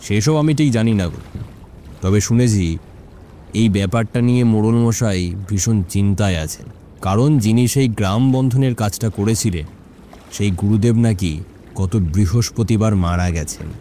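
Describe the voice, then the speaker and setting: A man's voice: fairly low pitch, moderate pace, mellow, a little hiss or hum, close to an everyday voice. A man playing an impatient young village fisherman in a cartoon, coaxing and insistent. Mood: confiding. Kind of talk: Bengali cartoon story dialogue.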